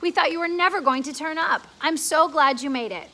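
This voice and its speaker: A woman's voice: sits high, a little fast, pushed and bright, pitched above the performer's own voice. A woman voicing a friendly, welcoming old male grasshopper the size of a dog in a children's story read aloud. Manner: welcoming, reassuring. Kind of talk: reading a children's book aloud.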